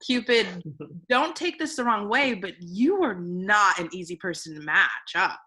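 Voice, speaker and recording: A woman's voice: medium pitch, animated, measured pace, bright, forceful tone, slightly rough, heavily acted. A woman playing a frank, pragmatic assistant of thirty, businesslike, in a comedy table read. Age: 30s.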